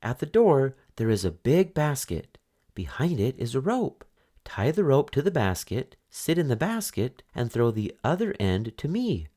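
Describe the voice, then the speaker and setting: A man's voice: medium pitch, very animated, unhurried, dark tone, pitched above the performer's own voice. A man voicing a clever, sweetly cunning young girl, the eldest sister, in a coaxing sing-song way. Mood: persuasive.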